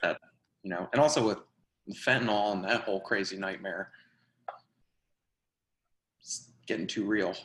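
Man, slightly low pitch, casual chat, conversational feedback, loose phrasing. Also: a little slow, pauses often, a bit bright, roomy sound, a little hiss or hum, poor audio. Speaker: reader giving feedback.

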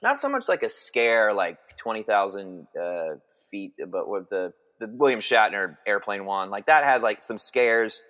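A man in his forties from Texas, a guest chatting in an interview, who talks in halting bursts with many restarts. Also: on the low side, sing-song, a bit bright. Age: 40s. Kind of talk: casual interview chat.

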